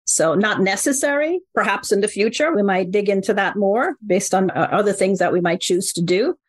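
A woman's voice: medium pitch, expressive, moderate pace, slightly rough. A middle-aged woman, a company co-founder, answering interview questions in an earnest, explanatory way and often checking in with "right?". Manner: earnest, explanatory, repeats key phrases.

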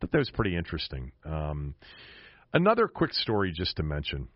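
A man's voice: low voice, wildly swooping pitch, a little fast, dry recording, muffled recording. A middle-aged American man giving casual, opinionated solo sports commentary as a host, speaking emphatically. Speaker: sports podcast and radio host.

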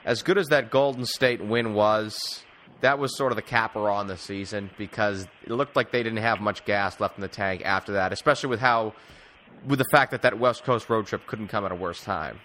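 Bright, forward male sports podcast host. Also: fairly low pitch, normal speed, a little hiss or hum. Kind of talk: conversational sports discussion.

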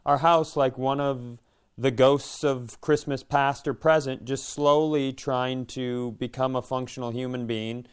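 A man's voice: mid-range voice, fairly even intonation, unhurried, hardly any pauses, clean tone. A man co-hosting a podcast, chatting casually and telling comic stories with some swearing. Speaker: podcast co-host. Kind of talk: casual comedic banter.

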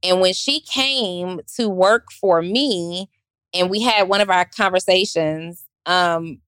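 A woman with a squeaky, raspy voice, a business owner chatting casually on a panel and acting out voices as she talks. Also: slow pace, dry recording. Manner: animated, acts out voices in quotes.

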